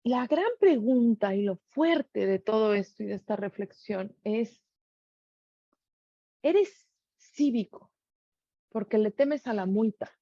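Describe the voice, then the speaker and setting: A woman's voice: on the high side, animated, takes it slowly, long pauses, no echo, dull audio. Earnest middle-aged Mexican woman, dry sound. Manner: earnest, poses many rhetorical questions. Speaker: speaker sharing reflections. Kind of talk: reflective spoken monologue.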